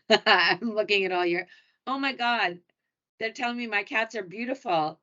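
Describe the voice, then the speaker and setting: A woman's voice: mid-range voice, unhurried, pushed and bright. A woman from New York City with a New York accent, presenting a hotel webinar in a chatty, upbeat, self-deprecating way. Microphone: computer mic on a video call. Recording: muffled, lo-fi video call audio.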